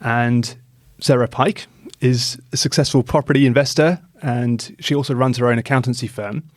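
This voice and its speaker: A man's voice: medium pitch, fairly even intonation, moderate pace, dry recording. Casual male podcast host, close dry sound. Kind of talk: casual interview chat.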